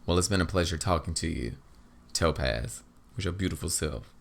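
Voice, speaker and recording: A performer putting on a low, smooth-talking male postman. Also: lively intonation, moderate pace. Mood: softly flirtatious. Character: smitten, polite postman, smooth-talking suitor, a man. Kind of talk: audio drama dialogue.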